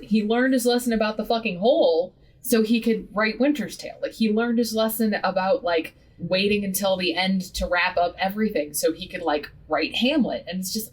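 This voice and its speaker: A woman in her thirties, a podcast guest talking in a lively, casual way, full of "like" and casual swearing. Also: mid-range voice, slightly rough, recorded from a distance, low-quality recording. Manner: animated, heavy use of "like", swears casually. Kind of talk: casual interview chat.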